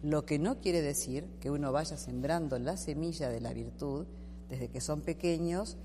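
Very low-voiced woman in a hall. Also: a little slow. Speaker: panel guest. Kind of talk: discussion panel. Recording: reverberant room with steady hum.

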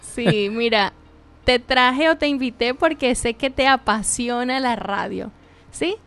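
A woman's voice: a little high, takes it slowly, clear, resonant tone. A Venezuelan woman hosting a live radio show, interviewing a guest in an enthusiastic way. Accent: Venezuelan Spanish. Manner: warm, enthusiastic, laughing interviewer.

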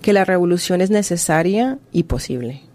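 Low mellow-voiced woman historian. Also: expressive. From Colombia. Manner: earnest, measured, with restarts. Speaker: guest author and historian. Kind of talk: radio interview answers.

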